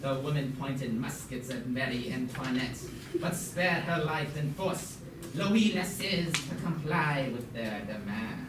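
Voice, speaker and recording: A young student voicing a formal puppet museum guide in an amateur school show, lecturing haltingly and stumbling over words. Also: mid-range voice, lively intonation, close to an everyday voice. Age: teenager or young student. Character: puppet museum guide, formal, mock-learned, named Schnitzelbach. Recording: noisy, echoey room, far from mic.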